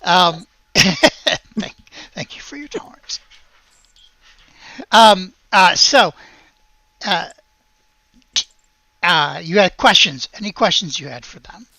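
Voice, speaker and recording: A game master improvising tabletop narration in a casual, halting, conversational way. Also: medium pitch, expressive, pushed and bright, no echo.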